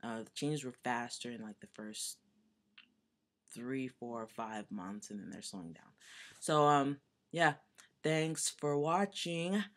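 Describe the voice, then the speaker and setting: A young man with a voice that is still deepening, giving a chatty, earnest video update full of fillers. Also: animated, slow, fairly bright tone. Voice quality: voice still deepening, not yet fully low. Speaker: vlogger. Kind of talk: casual personal video update.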